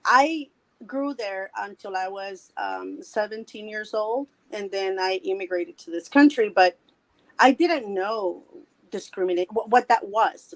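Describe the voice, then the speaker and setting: Salvadoran woman caller, mid-pitched, earnest and halting. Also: poor audio. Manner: earnest, repeats words, halting. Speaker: guest caller. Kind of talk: personal storytelling.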